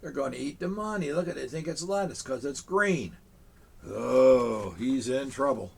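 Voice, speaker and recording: Man reading a picture book to kids, gravelly, husky, lively pitch, chatty asides. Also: normal speed. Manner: chuckling, animated asides to young listeners. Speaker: storyteller in a Santa persona.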